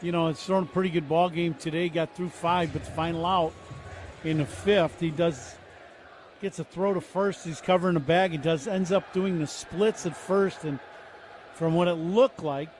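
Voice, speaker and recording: A man's voice: on the high side, fairly even intonation, moderate pace, slight background noise. A man giving color commentary on a radio baseball broadcast, talking loosely and breaking down each play. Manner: conversational, explaining plays with restarts.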